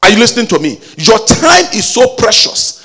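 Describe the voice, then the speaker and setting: A man preaching a sermon with call-and-response exhortations, rising at times into a sung shout. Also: high voice, big pitch swings, a little fast, slightly rough.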